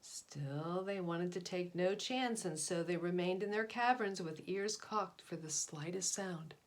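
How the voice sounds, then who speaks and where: A woman's voice: lowish voice, measured pace. A woman narrating a children's picture book aloud in a dramatic, emphatic way. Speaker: storybook reader.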